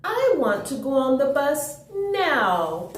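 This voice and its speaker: A woman's voice: high-pitched, very animated, slow pace, far from the mic, voice pushed up, heavily acted. A woman voicing an eager, impatient little boy in a storybook, with a pleading manner, in a roomy, echoing space.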